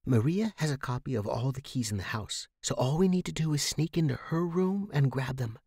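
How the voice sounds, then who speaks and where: A man's voice: mid-range voice, expressive, fairly quick, a little mellow, slightly rough, no room sound, studio quality. A man voicing a persuasive young woman, insistent and conspiratorial, in a horror story. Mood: conspiratorial, daring. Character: daring, persuasive young woman writer, scheming friend.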